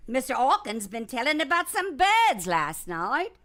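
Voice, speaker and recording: A woman's voice: sits high, very animated, a little slow, fairly bright tone, heavily acted. A woman acting in a radio drama as a chatty, mocking, good-humored country farmer's wife. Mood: doubtful.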